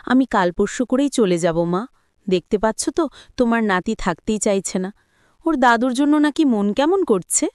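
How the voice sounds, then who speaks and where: A woman voicing a principled young married daughter in an audio story, earnest, gently firm and reasoning. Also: a little high, expressive, normal speed, no room sound, clean studio sound, close to an everyday voice.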